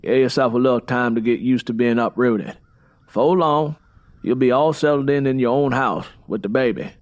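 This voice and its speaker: A man's voice: medium pitch, normal speed, a little mellow, lightly acted. A man voicing a stern, confident Southern matriarch in an audiobook, instructing firmly with a Southern US accent. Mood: reassuring.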